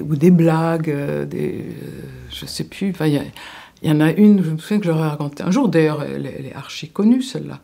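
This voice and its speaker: An older woman, a former teacher from Alsace, answers interview questions in a reflective, unhurried way. Her voice is gravelly and mellow. She hesitates often and restarts her phrases while searching for the right word. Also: low voice. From Alsace, France.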